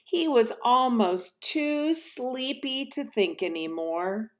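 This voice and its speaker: A woman reading a storybook aloud to young children in an affectionate, expressive, emphatic way. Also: fairly high pitch, slow pace. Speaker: storybook reader.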